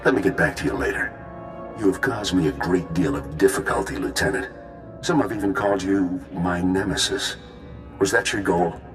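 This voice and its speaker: A man's voice: low-pitched, moderate pace, lightly acted. A man playing a smug, menacing renegade commander in a video game, mocking and self-righteous. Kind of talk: video game cutscene acting. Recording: roomy echo. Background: music.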